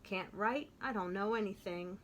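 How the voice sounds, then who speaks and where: A woman reading a storybook, voicing a lonely, resolute woman over a hundred years old in a soft, halting way. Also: mid-range voice, slight background noise, lightly acted. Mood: despondent.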